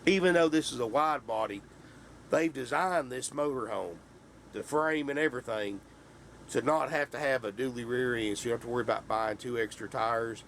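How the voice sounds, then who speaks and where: A man, hoarse from a sinus infection, giving a folksy sales pitch for a camper van and calling his viewers folks. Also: normal speed, a bit bright, a little hiss or hum. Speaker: RV dealer. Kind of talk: sales pitch walkthrough video.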